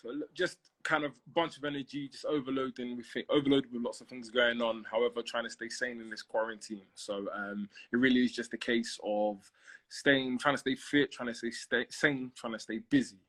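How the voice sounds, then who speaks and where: A man's voice: medium pitch, a bit bright. A man hosting a casual live interview over a phone video call, friendly and eager, with many fillers.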